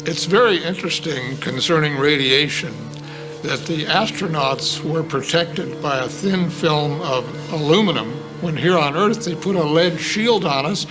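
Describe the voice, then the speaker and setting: A man's voice: on the high side, unhurried, poor audio. A man commenting conversationally as an interviewee in a documentary. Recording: music behind the voice. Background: music.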